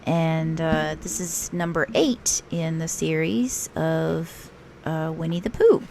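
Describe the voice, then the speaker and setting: A woman reading a children's picture book aloud as a storytelling teacher, expressive and unhurried. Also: sits low, a little hiss or hum.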